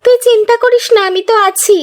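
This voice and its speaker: A woman playing a gushing, flattering young village kitchen helper in a cartoon. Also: very high-pitched, fast. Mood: reassuring. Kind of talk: cartoon voice acting. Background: background music.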